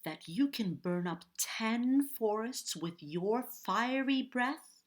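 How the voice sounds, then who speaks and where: Woman playing a young storybook princess, high, swooping, bold, determined, emphatic. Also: animated. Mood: flattering, curious. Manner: exclaims in high, drawn-out, emphatic bursts.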